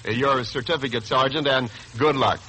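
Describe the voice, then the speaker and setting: A man's voice: medium pitch, normal speed, over loud music, low-quality recording. An American man announcing in scripted 1940s radio style, emphatic, formal and polished, on an old broadcast. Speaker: radio announcer and sponsor spokesman.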